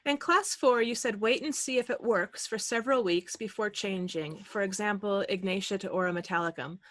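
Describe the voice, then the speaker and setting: Woman co-host reading questions, relaxed chatty tone, dry close mic. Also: medium pitch, fairly quick, no echo. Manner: relaxed, conversational, uses fillers. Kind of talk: reading questions, casual chat.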